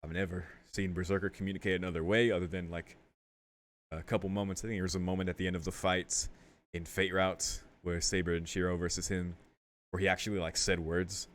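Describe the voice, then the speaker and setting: Casting: male gaming host, lowish voice, casual and jokey, slang-heavy, reads dialogue boxes aloud. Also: flat delivery, a little fast. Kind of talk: casual playthrough commentary, reading game text aloud. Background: game music.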